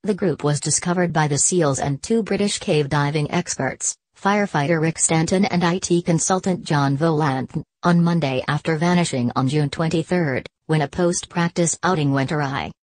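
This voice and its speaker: A woman with a deep, low voice delivers a scripted news story. Her read is even and measured, and the recording is dry, with no room sound. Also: dry recording. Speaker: news narrator.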